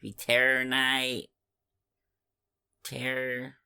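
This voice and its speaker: A podcast co-host casually discussing films in a halting way, often searching for words. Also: medium pitch, completely flat delivery, gravelly, bright, forceful tone, clear, resonant tone.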